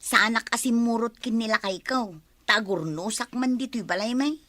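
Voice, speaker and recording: A woman's voice: on the high side, moderate pace, fairly bright tone, natural voice. A woman playing a boastful village neighbour in a comedy radio drama. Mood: showy.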